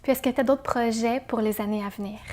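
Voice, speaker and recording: Woman, show host, a bit high-pitched, short prompts, lots of affirmations. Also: fairly even intonation, a little fast, a little mellow. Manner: brief prompts and frequent affirmations. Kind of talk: interview host questions.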